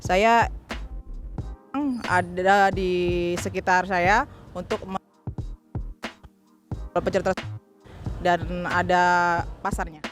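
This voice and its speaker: A woman from Jakarta who runs a small food business, speaking haltingly in an interview to camera. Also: lowish voice, flat delivery, over music. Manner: halting, with fillers.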